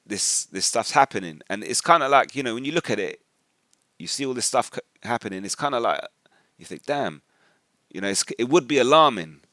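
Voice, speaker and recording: Very low-voiced Englishman, close dry sound. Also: sharp tone, no room sound. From England. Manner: colloquial, slangy, acting out reported remarks. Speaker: religious video commentator. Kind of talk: informal spoken commentary with personal anecdotes.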